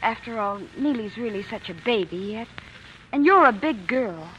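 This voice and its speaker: A woman's voice: fairly high pitch, lively intonation, moderate pace, fairly bright tone. An actress playing a weary, practical working mother, matter-of-fact, in a 1940s radio drama. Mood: gentle, firm. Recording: dull, faintly noisy 1940s radio broadcast.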